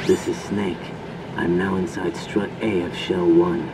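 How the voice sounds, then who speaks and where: Distant-sounding, earnest covert operative. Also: male voice, sits low, little pitch movement, a little slow. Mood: alert. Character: young male covert operative, earnest and slightly cocky. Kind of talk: video game voice acting, radio conversation. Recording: distant-sounding voice with clear background noise.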